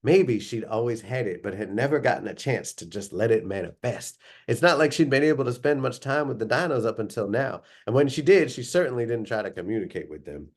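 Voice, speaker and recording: A man reading a story aloud as expressive, dramatic narration, drawing out descriptive phrases. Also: medium pitch, brisk, hardly any pauses.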